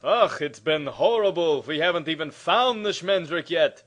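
A man's voice: fairly high pitch, animated, normal speed, fairly bright tone. A man playing an easygoing old uncle and general in a cartoon parody, grumbling and comically casual. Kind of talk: comedic cartoon parody dub.

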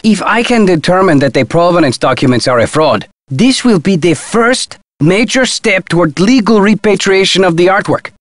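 A man's voice: on the high side, fairly bright tone, no room sound, clean studio sound. A man playing a proud, indignant diplomat in a video game, speaking formally, precisely and emphatically. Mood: sly, persuasive. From Mexico. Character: proud, indignant Mexican male diplomat, protective of heritage. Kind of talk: scripted video game dialogue.

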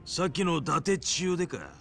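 A male actor playing a brash, cocky yakuza gangster in a video game, swaggering in rough Hiroshima dialect. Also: a little high, sing-song, fairly quick, a bit bright, soft background music, exaggerated voice. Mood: rough, respectful. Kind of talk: dramatic video game acting.

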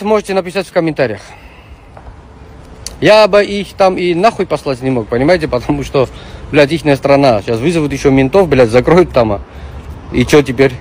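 A man's voice: on the high side, a little hiss or hum. Swearing, indignant man from Armenia. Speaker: storyteller in a reposted clip. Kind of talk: casual angry rant. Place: outdoors on a street. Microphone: phone at arm's length.

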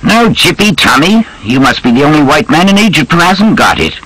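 A man acts out a hard-drinking British army major in an audiobook. The pitch is lifted well up and jumps around, with a forceful edge. He talks in clipped, slangy bursts, bluff and full of complaint. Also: big pitch swings, a little fast, husky, no room sound, full character voice, low-quality recording. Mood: bluff, jovial. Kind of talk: audiobook character dialogue.

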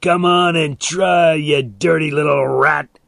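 A man voicing a big, angry bully in a story, shouting, taunting and threatening in a raised voice. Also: medium pitch, slow pace, no echo, studio quality, close to an everyday voice.

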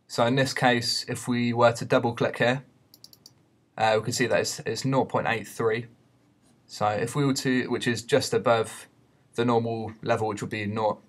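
A man giving an unscripted software tutorial in a hesitant, explanatory way. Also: mid-range voice, little pitch movement, moderate pace. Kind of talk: unscripted screen-recorded tutorial. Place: voice-over for a screen recording.

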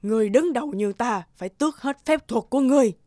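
A woman's voice: a little high, quick pace, background noise, close to an everyday voice. A woman playing a stern old witch leader in a cartoon, cold and commanding, lecturing imperiously. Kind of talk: cartoon dubbing.

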